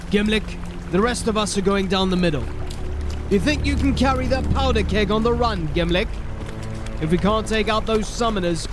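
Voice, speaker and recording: An actor playing a gruff, commanding city watch sergeant in a fantasy audio drama, giving clipped, urgent orders. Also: male voice, sits high, close to an everyday voice. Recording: music under the voice.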